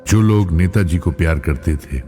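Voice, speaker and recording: A man reading a scripted tribute as a spokesperson, solemn, measured and reverent, with deliberate pauses. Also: sits low, warm tone. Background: music.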